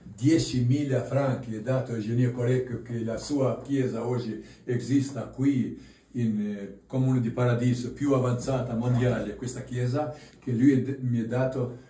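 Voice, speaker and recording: Older man in halting Italian, echoey hall. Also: mid-range voice, steady tone, warm tone. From Kosovo. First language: Albanian. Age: 60s. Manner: halting, broken Italian with restarts. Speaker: painter presenting his exhibition. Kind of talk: casual exhibition talk. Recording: echoey room from far off, faint noise.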